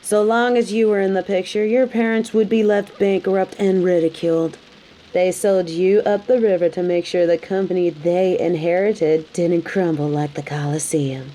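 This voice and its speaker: A woman's voice: on the low side, fairly even intonation, normal speed, mellow, natural voice, rough recording. A woman playing a tough, sassy bounty hunter in an audio roleplay, speaking straight to the listener with dry, taunting, scolding bluntness. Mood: scornful, taunting. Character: tough, sardonic female bounty hunter, grudgingly hospitable, scolding. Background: rain.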